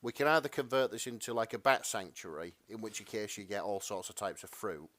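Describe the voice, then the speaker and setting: A man's voice: fairly low pitch, a little fast, a bit bright. A man co-hosting a podcast in casual chat, agreeable and given to conversational asides. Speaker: podcast co-host.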